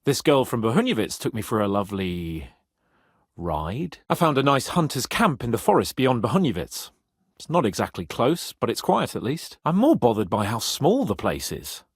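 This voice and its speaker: Cocky young nobleman, wildly animated delivery. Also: medium pitch, normal speed, breathy edge, dry recording, studio quality. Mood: grumbling. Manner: haughty, expressive, profane. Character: young cocky nobleman knight, proud, flippant, grumbling. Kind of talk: video game voice acting.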